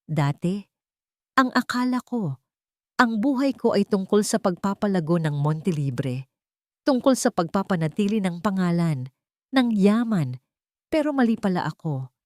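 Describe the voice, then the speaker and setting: A woman's voice: medium pitch, lively intonation, unhurried, long pauses, natural voice. A devoted man in love speaks tenderly and earnestly in a romance audiobook, read by a woman narrator. The words come as sincere declarations to a sweetheart. The sound is dry, without room echo. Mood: tender, reflective. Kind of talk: dialogue inside a narrated romance story.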